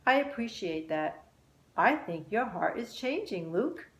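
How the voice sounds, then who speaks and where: Storybook mother played by a woman, firm, affectionate, mid-pitched, roomy echo. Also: big pitch swings, measured pace. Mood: appreciative. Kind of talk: children's storybook character voice.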